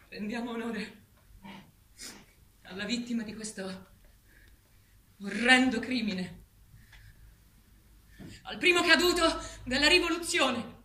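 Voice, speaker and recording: An actress playing a fervent farm-animal revolutionary leader in a stage play, declamatory and emphatic. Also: female voice, fairly high pitch, sharp tone. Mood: solemn, rousing. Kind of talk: theatrical stage acting. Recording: echoey theatre, distant mic, background noise.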